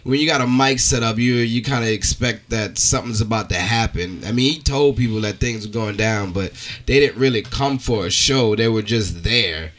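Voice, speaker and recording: A man's voice: lowish voice, normal speed, fairly bright tone. A male podcast co-host telling casual comic stories, rambling along and acting out conversations.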